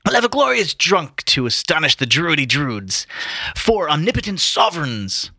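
A man voicing a boisterous, mocking, theatrical young man from a novel, loud and exclaiming. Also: high-pitched, wildly swooping pitch, a little fast, husky, no room sound, voice pushed up, exaggerated voice. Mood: gleeful. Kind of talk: dramatic novel reading.

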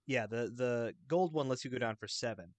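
A man's voice: mid-range voice, normal speed, dry recording. A man in a casual chat about a video game, eagerly explaining details at length.

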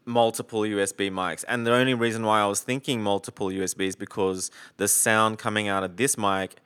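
A man's voice: lowish voice, fairly even intonation, a little fast, a bit bright, clean tone. A man chatting casually on a call about recording gear, rambling with lots of fillers and the odd swear. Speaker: podcaster on a video call.

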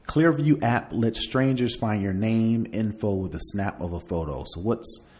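A man's voice: lowish voice, unhurried, a little mellow. A man hosting a podcast, chatting casually through tech news and partly reading aloud, in a conversational way. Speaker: podcast host.

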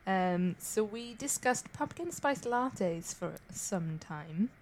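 A woman's voice: medium pitch, expressive, slow, darkish tone. Chatty British woman, laughing podcast host. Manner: chatty, laughs often, self-deprecating. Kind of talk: casual podcast chat.

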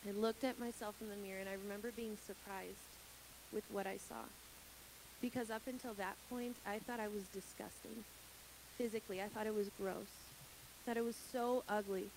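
A woman's voice: mid-range voice, steady tone, moderate pace. Earnest young woman, hissy recording. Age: 20s. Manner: candid and earnest, laughs at her own slips. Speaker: church member sharing her testimony. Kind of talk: personal testimony to a congregation. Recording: hissy background noise.

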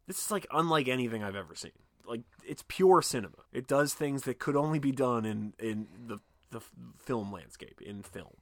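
An animated young man talks casually about movies as a podcast co-host, swearing freely and slipping into mocking impressions. His voice sits at an ordinary middle pitch. The sound is dry and close, with no room echo. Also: normal speed, few pauses. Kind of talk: casual film discussion.

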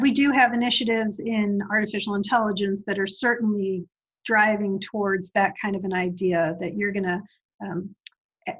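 A woman's voice: medium pitch, breathy edge, rough recording. A woman whose first language is Italian, answering interview questions thoughtfully, with frequent fillers and restarts. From Italy. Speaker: university guest. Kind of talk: podcast interview.

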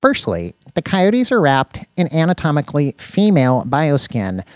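A man's voice: fairly high pitch, huge pitch swings, normal speed, darkish tone, no echo, voice pushed up. A man voicing a lanky, nerdy male tech deputy in an audiobook, with a precise, anxious, sardonic manner. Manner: sarcastic, precise, exasperated.

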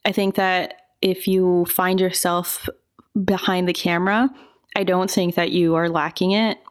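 A woman giving relaxed, thoughtful answers as an interview guest, often hedging her points. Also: fairly low pitch, unhurried, clean tone.

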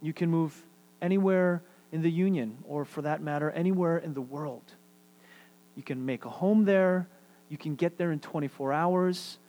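Earnest New preacher, higher-pitched man. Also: moderate pace, dark tone, muffled recording. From New York City. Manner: earnest, repetitive, drops to near-whisper for emphasis. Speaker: pastor. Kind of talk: sermon.

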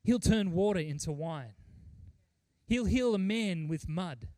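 A middle-aged male pastor delivers a sermon in a fairly high voice. He is earnest and emphatic, pausing deliberately and repeating key phrases. The sound is dry, with very little room echo. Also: expressive, dark tone. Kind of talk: sermon with mission report and scripture reading.

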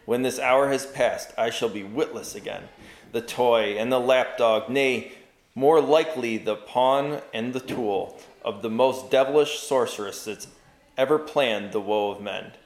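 A man's voice: medium pitch, a little slow, reverberant, natural voice. A man voicing a tormented enchanted knight bound in a chair, pleading in grand old-fashioned speech and rising to frenzy.

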